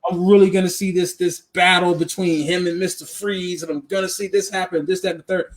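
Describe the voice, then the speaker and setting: A man co-hosting a podcast in casual movie chat, animated and emphatic, often repeating himself for effect. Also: high-pitched, a little fast. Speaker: podcast co-host.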